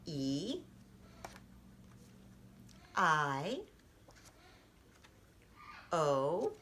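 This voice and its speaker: A woman reads to children as a storyteller and teacher. Her voice is slightly low yet bright, with lively intonation and deliberate emphasis. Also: slight background noise. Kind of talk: children's read-aloud and simple lesson.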